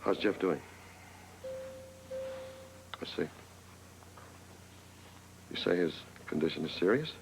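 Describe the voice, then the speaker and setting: A man's voice: sits low, lively intonation, takes it slowly, vocal fry, pauses often, faint music behind, heavily acted, rough recording. An actor playing a grave, subdued man in a television drama, speaking in brief sombre phrases. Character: grave, subdued man taking bad news by telephone. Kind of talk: television soap opera acting.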